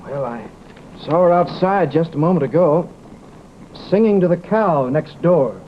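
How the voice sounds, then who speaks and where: A testy, self-important doctor of science from old-time radio. His voice sits fairly high and he talks in clipped, theatrical phrases. The recording is dull with faint noise. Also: expressive, unhurried. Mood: earnest. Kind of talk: old-time radio comedy-drama acting.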